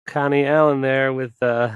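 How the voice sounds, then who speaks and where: An actor playing a timid, insecure young radio host in a video game, stammering and hesitant, trailing off anxiously. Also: male voice, medium pitch, no room sound, highs cut off.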